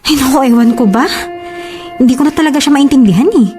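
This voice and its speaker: Bride-to-be, played by a woman: Filipino accent, higher-pitched, devoted but hurt, pleading conversational drama delivery. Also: fast, darkish tone, faint music behind, lightly acted. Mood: exasperated. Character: long-suffering, devoted bride-to-be, hurt and defensive. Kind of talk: Filipino relationship audio drama acting.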